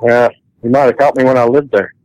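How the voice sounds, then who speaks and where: A man's voice: medium pitch, fairly even intonation, brisk, a little mellow, dry recording, poor audio. A man taking a prank call over the phone, easygoing, laughing along and jabbing back. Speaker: flooring installer taking a call. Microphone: phone line.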